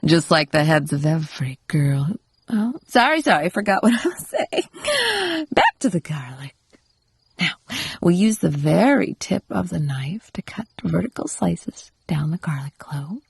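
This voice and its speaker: Possessive yandere housewife, played by a woman for ASMR roleplay: lowish, very breathy, whispery, sweetly coaxing and instructive. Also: very animated, unhurried, no echo, studio quality, full character voice. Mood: possessive, unhinged. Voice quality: breathy, often slipping into whisper. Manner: coaxing, doting, softly instructive. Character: possessive, doting yandere wife training her captive husband.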